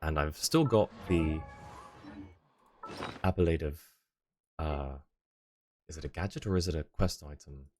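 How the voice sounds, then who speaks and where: A man's voice: very deep voice, unhurried, long pauses, a little mellow. A man live-streaming a video game, chatting casually with viewers as he plays. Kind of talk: casual chat while playing a game for viewers.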